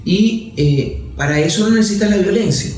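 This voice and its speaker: A man's voice: high voice, normal speed, darkish tone. A man with a Venezuelan accent, a lawmaker being interviewed, explaining his ideas in a professorial, analytical way and using everyday examples. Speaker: lawmaker guest. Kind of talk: interview conversation. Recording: very echoey room.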